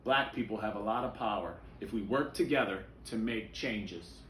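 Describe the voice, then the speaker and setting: A man's voice: on the low side, moderate pace, fairly bright tone, reverberant, a little hiss or hum, lightly acted. A man voicing a firm, serious, principled storybook father who explains things plainly. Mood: angry.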